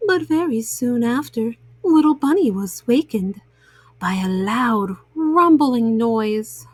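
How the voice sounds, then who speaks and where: A woman telling a bedtime story to young children in a gentle, motherly, expressive manner. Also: fairly high pitch, slow pace, slightly rough, no echo.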